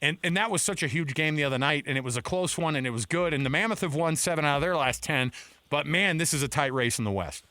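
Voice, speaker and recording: A man with a fairly high, bright voice co-hosting a radio show. He rambles excitedly, and his voice sounds dry and close in the studio. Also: fast talker, dry recording. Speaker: radio co-host. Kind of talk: casual radio talk.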